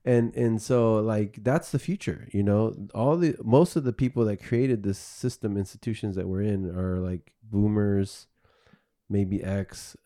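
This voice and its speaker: A man's voice: on the low side, a little slow, dark tone. A man hosting a podcast, chatting casually and thinking aloud with many restarts. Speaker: podcast host.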